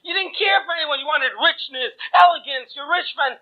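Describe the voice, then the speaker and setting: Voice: high voice, normal speed, pitched above the performer's own voice, close to an everyday voice. A storyteller voicing a stern old mystic who lectures reproachfully, on tinny phone-like audio.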